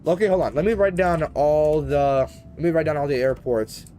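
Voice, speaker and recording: A man's voice: on the high side, moderate pace, dark tone, faint music behind. A man chatting casually as he live-streams a flight simulator, in a rambling, slangy, conversational way. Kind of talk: casual live-stream chat while gaming.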